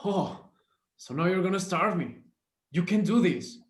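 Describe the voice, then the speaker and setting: A man's voice: high-pitched, expressive, unhurried. A man acting a sullen, defiant young street tough in a screenplay table read, recorded in an echoey room. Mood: defiant, bitter. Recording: roomy, echoey sound.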